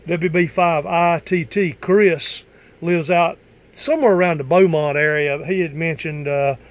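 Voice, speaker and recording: Male amateur radio operator, voice a little high, casual on-air chat style. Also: fairly even intonation, a little slow. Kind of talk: casual ham radio conversation.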